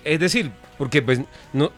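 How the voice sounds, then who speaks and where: A man's voice: a little high, expressive, moderate pace, fairly bright tone, faint music behind. A male interviewer in casual conversation, playful and curious, who laughs easily.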